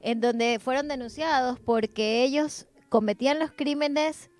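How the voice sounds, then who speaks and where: Female co-host, fairly high voice, casual chatty delivery, music behind. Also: measured pace, a little mellow. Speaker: podcast co-host. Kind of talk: casual chat.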